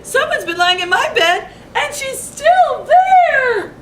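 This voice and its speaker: A woman voicing a small young baby bear with a high, plaintive voice. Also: very animated, slow pace, fairly bright tone, a little hiss or hum, heavily acted. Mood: excited. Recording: echoey room, mic at a distance.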